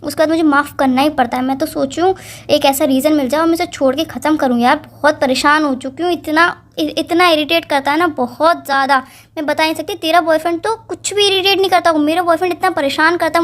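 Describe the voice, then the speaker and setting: A woman plays a young girlfriend in a romantic phone-call drama, speaking very high and quickly. She is exasperated and defensive, insistently pleading to be heard in casual, colloquial words. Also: fairly bright tone. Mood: exasperated, complaining.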